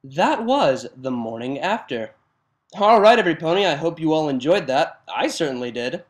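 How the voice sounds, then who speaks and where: Expressive male fanfiction reader. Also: a little high, moderate pace. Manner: expressive, animated reading. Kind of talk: story reading.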